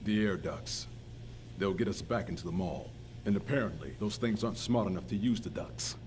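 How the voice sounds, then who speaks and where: An actor playing a blunt, practical survivor who takes charge with urgent authority in a game cutscene. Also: male voice, sits low, moderate pace, darkish tone, a little hiss or hum, lightly acted. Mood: grave, controlled. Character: commanding, practical male survivor taking charge in a crisis. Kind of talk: video game cutscene acting.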